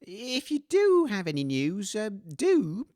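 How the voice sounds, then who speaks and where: A man's voice: high-pitched, huge pitch swings, slow pace, a little mellow, dry recording, clean studio sound. A nervous, flustered man hosting a comedy radio show in character, often stammering.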